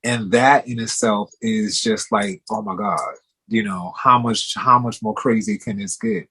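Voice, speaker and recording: A man with a low, husky voice talks slowly and affirmingly, threading "you know" through long reflective sentences. He sounds like a counselor reflecting a guest's story back to her. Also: sharp tone. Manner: affirming, many "you know" and "mm-hmm" interjections. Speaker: host, therapist-style interviewer. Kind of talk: supportive interview talk.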